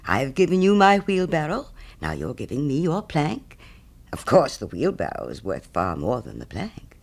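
A man's voice: medium pitch, wildly swooping pitch, normal speed, creaky, exaggerated voice. A man voicing a smug, selfish miller who preaches friendship in a pompous, moralizing way, as a storybook character. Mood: smugly grasping. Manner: pompous, self-righteous, moralizing.

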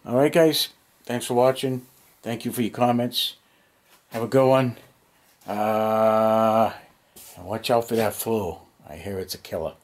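Low-voiced American man thinking aloud. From United States. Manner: unscripted, thinking aloud in short muttered bursts. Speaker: hobby vlogger. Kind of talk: casual chat to camera. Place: cluttered basement hobby room. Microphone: handheld camera near arm's length.

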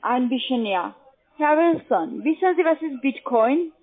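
A woman who is a non-native speaker, giving a scripted sales pitch on the phone as an adviser. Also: animated, measured pace. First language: not German. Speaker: call centre investment adviser. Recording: narrow, tinny phone line.

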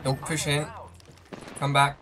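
A man's voice: on the high side, completely flat delivery, slow, a bit bright, a little hiss or hum. A man from France with a French accent, streaming a shooter game and giving short commands to his team. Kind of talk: gaming commentary with teammates.

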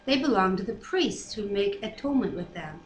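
A woman's voice: medium pitch, lively intonation, fairly quick. A middle-aged woman reading scripture aloud with casual commentary at home, picked up by a computer webcam microphone. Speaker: Bible reader and discussion co-host. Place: living room at home. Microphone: computer webcam mic at desk distance. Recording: roomy, echoey home webcam, faint background noise.

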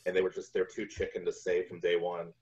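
Male interview guest, 40s, very deep voice, relaxed storytelling style, lo-fi call sound. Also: fairly quick. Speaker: guest, video game composer. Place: home room on a video call. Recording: dull lo-fi video call audio.

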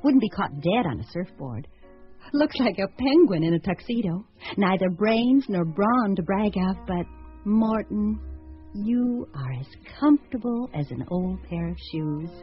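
A whimsical bride in a radio comedy, played by a woman, teasing and theatrical. Her voice swoops widely in pitch. The sound is muffled old radio with music underneath. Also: expressive, unhurried, close to an everyday voice. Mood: fond, wry. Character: whimsical bride Laura weighing five suitors, affectionate and teasing. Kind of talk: radio comedy acting with monologue.

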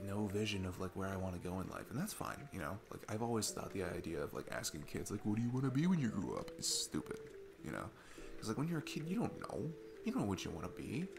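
A man's voice: fairly low pitch, vocal fry. A young man playing an easygoing, earnest divorced dad on a date, chatting in a casual, rambling ASMR roleplay style. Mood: relaxed, musing. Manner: rambling, informal, chuckling often. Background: music.

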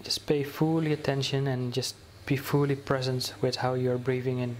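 Slow, flat-voiced male meditation guide. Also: medium pitch, a little hiss or hum. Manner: slow, soothing, many pauses and restarts.

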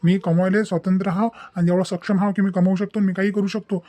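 A man's voice: high-pitched, level tone, fast talker, mellow, close to an everyday voice. A man playing an indignant, principled young son in a cartoon, lecturing emphatically in a Vidarbha Maharashtrian dialect. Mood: defiant. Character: indignant, principled young adult son defying his mother. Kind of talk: comic family drama cartoon dubbing.

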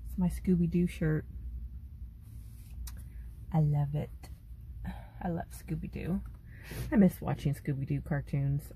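Slow-talking, sighing woman vlogger. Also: low voice, vocal fry, mellow, slight background noise. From California. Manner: slow, sighing, grumbling complaints. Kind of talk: casual vlog chat. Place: parked truck cab, then dim home room. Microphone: phone at arm's length.

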